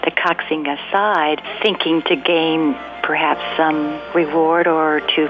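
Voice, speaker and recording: Low-voiced woman explaining history, dull recording. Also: steady tone, over music. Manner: hesitant, searching for words, measured phrasing. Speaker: interviewed researcher and radio drama writer. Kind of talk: unscripted explanatory interview.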